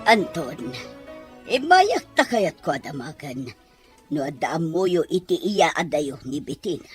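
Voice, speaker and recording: A woman's voice: low voice, big pitch swings, faint music behind. A woman with a Filipino accent playing a lovesick, imperious middle-aged madam in an Ilocano audio drama, with melodramatic delivery. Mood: pleading. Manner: melodramatic, drawn-out. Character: lovesick, imperious older madam, theatrical and wounded.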